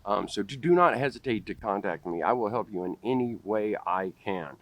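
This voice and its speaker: Guy with a stutter vlogging outside, lowish voice, dry close sound. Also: expressive, fairly quick, a bit bright, no echo. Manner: stutters with repeated sounds and restarts. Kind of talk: direct-to-camera talk. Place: outdoors in a park. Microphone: camera close up at face level.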